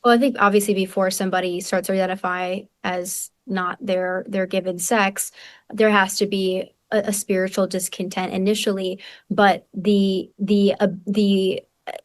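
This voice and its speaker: A woman's voice: mid-range voice, level tone, moderate pace. A young woman journalist answering as a guest, earnest and full of restarts and repeated words. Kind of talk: conversational interview answer.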